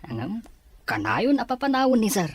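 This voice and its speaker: A man's voice: sits high, huge pitch swings, moderate pace, husky, full character voice. A man with an Ilocano Filipino accent playing a curious, gossiping office colleague in an audio drama. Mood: gossipy. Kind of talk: Ilocano radio-style drama acting.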